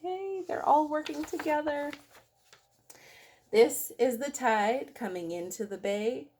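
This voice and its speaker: A woman reading a picture book aloud to young children in an expressive way, with dramatic emphasis, speaking straight to her listeners. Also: high-pitched, slow, a little hiss or hum. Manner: addresses young listeners directly with questions.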